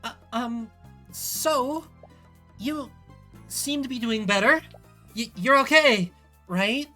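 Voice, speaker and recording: A man voicing a gentle, caring young woman in a visual novel, soft and earnest and easily flustered. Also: very high-pitched, takes it slowly, faint music behind, pitched above the performer's own voice, heavily acted. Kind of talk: visual novel character dialogue.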